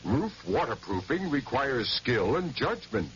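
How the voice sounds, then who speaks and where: A man announces a consumer advice spot on old radio, bright and lively. He is instructive and emphatic, in a middle register with dull broadcast sound. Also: mid-range voice, a little slow, a little hiss or hum. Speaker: public service announcer. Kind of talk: scripted 1970s radio advertisement.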